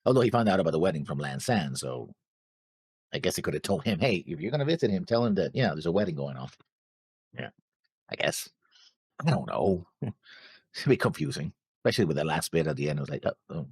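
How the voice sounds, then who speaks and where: A man with a low, rough-edged voice whose pitch moves around a lot. He chats casually as a reaction show co-host, thinking aloud in halting bursts, recorded dry. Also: big pitch swings, no room sound. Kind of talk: casual discussion of an animated series.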